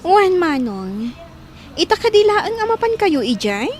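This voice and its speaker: High, chatty young villager. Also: female voice, big pitch swings, measured pace, a crowd in the background, exaggerated voice. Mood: helpful, gossipy. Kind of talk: Filipino radio drama acting.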